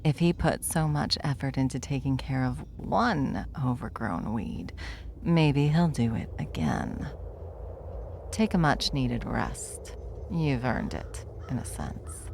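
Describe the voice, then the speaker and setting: Woman acting a deep, creaky, smug villain. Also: animated, slow, darkish tone, a little hiss or hum, full character voice. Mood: wry, conversational. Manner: wry, drawling, taunting, flippant. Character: bored, smug, powerful ancient villain toying with captives. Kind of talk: ASMR roleplay acting.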